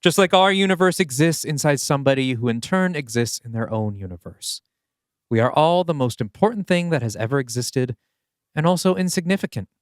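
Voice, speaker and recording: A man voicing a gentle, philosophical older male mystic in an audio play, speaking with earnest calm. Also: a little high, brisk, clear, resonant tone, dry recording, studio quality, voice pushed up, lightly acted. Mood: serene, earnest.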